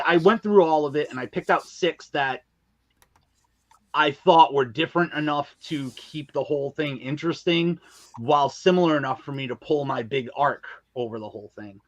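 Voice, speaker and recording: A man's voice: fairly high pitch, a bit bright, dry recording, highs cut off. A man talking casually and candidly as a podcast interview guest, with emphatic, colloquial phrasing. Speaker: guest, audio drama writer and filmmaker.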